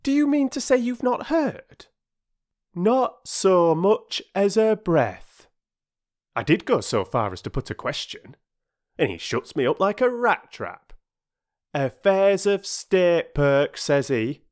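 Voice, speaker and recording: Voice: mid-range voice, sing-song, slow pace, darkish tone, voice pushed up. A narrator voicing an indignant working-class railway porter from a children's storybook, lofty and aggrieved, with ungrammatical speech. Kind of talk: children's storybook character reading.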